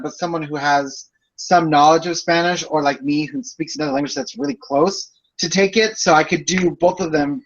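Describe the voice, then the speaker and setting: A young man in his twenties chats with classmates about his courses, his voice sitting a little high. He wanders through long explanations full of fillers. The sound comes through a dull, muffled video-call line. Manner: chatty, long rambling explanations with many fillers. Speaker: student in a group project. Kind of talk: casual chat on a video call.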